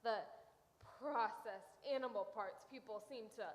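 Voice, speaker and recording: Woman acting as correcting earnest activist, slightly high pitch, radio comedy, reverberant hall. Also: unhurried, close to an everyday voice. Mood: earnest, disdainful. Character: earnest, correcting female vegan-food advocate. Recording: echoey hall.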